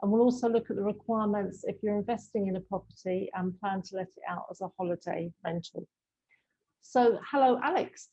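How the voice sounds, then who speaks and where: A woman's voice: mid-range voice, brisk, warm tone. A woman hosting an informal interview, putting questions to her guest. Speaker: interviewer, host. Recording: dull, muffled lo-fi sound.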